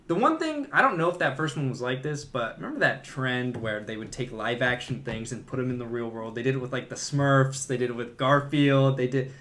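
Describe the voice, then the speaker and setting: A man's voice: mid-range voice, brisk, hardly any pauses, fairly bright tone. A man co-hosting a podcast, chatting casually about movies in an opinionated, conversational way. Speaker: podcast co-host.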